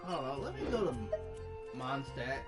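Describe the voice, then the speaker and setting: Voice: mid-range voice, big pitch swings, moderate pace. A gamer giving casual commentary while playing a video game. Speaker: gamer, channel host. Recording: loud game music behind voice.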